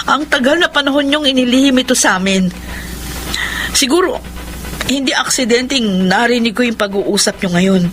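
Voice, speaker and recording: A woman's voice: on the high side, noisy background, lightly acted. A woman acting an earnest, troubled young adult daughter, emotional and insistent, in a Filipino radio drama.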